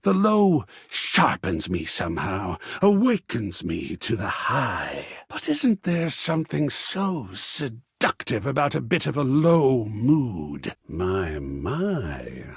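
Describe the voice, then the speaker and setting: An actor playing a flamboyant, gushing, art-obsessed living artwork in a video game, with a theatrical, affected delivery that savours each word. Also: male voice, medium pitch, wildly swooping pitch, slow pace, gravelly, hardly any pauses, slightly rough, no echo, clean studio sound, heavily acted. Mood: sly, musing.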